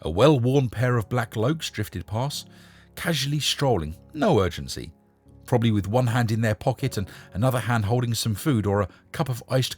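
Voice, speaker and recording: A man with a husky voice narrating a short story in a measured, expressive storytelling manner. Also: medium pitch. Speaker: narrator and host reading short stories. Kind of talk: scripted story narration with a short casual introduction.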